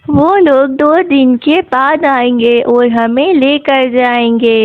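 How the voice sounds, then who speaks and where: A woman voicing a gentle, hopeful village housewife in a story, polite and homely in manner. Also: high voice, fairly even intonation, slow, no room sound, phone-quality sound. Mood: hopeful, excited.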